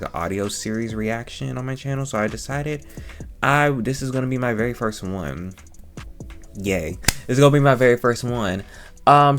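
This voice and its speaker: Male YouTube reviewer, low register, chatty and self-aware, loops back over phrases playfully, close-miked. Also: fairly bright tone. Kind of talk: casual chatty review to camera. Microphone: microphone held very close to the mouth.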